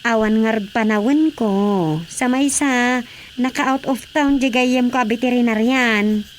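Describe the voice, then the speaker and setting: A woman playing a chatty, gently exasperated grown daughter in a radio comedy drama, with an Ilocano accent. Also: a little high, fairly even intonation, measured pace, slight background noise, lightly acted. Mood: explaining.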